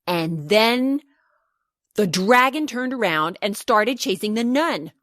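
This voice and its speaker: Lady doing an excited little boy telling a dragon story, super swoopy and dramatic, dry sound. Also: a little high, slow pace, dry recording. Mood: thrilled. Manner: dramatic, emphatic, building suspense.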